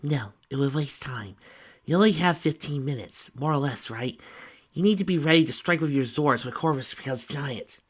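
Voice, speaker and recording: A woman voicing a determined young mare pony who speaks calmly and firmly. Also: deep voice, moderate pace, husky, voice pushed up. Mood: resolute. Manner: calm, resolute.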